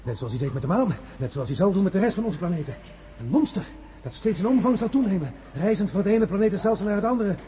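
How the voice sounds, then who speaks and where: A feverish madman of an astronaut in a science-fiction radio play. His voice is extremely high for a man and leaps about as he raves like a doom prophet. A faint ambient noise sits behind him. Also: lively intonation, fast talker, warm tone. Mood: ominous raving. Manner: raving, exultant, breaking into manic laughter. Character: crazed feverish astronaut prophesying a world-devouring monster.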